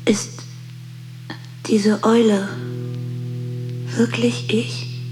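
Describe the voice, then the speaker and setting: An actress playing a haunted, distraught woman in a literary radio drama, speaking in a slow, incantatory way. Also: on the high side, level tone, darkish tone, faint music behind. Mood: haunted, questioning. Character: distraught woman, haunted, urging on and questioning herself.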